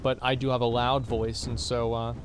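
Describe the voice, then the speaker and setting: A man's voice: medium pitch, level tone, normal speed. A young man talks casually into a desk mic while he plays a game on stream. He answers viewers' questions bluntly, wandering off mid-thought and circling back. A faint background hum sits under his voice. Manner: rambling, blunt, reads out and answers chat questions. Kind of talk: casual chat with livestream viewers while gaming.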